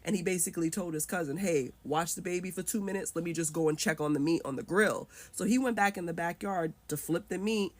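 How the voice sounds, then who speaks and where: A woman's voice: fairly low pitch, a little fast, few pauses. A woman in her thirties retelling a personal story in a casual, emotional way, acting out the voices she quotes.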